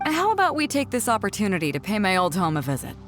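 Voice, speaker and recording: An actress playing a confident, playful yet reflective woman sea captain in video game dialogue, with a self-assured, measured way of speaking. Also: medium pitch, wildly swooping pitch. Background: music.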